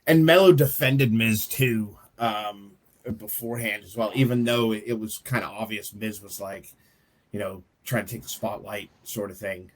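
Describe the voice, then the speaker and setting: Guy with a lowish voice, casual podcast chat, lots of um and uh. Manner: hesitant, many fillers and restarts. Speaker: podcast co-host.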